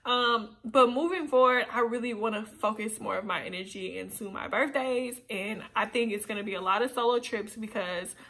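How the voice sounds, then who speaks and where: Bright, chatty woman in her twenties. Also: a little high, moderate pace, hardly any pauses. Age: 20s. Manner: animated, chatty, playful asides. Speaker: YouTuber. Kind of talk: casual chat to camera. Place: small indoor room, seated on a sofa.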